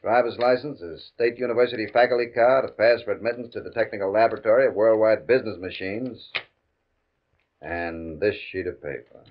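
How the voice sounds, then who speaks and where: An actor playing a smooth, menacing gambling boss in 1950 radio drama style, mock-polite, controlling and taunting. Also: male voice, fairly low pitch, a little fast, close to an everyday voice. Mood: smooth, sly. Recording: muffled old radio recording.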